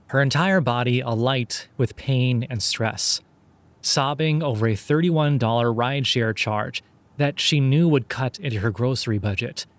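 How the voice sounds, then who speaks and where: A man reading scripted dark fiction as a podcast narrator, in a measured, deliberate way with dramatic pauses. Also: mid-range voice, no room sound.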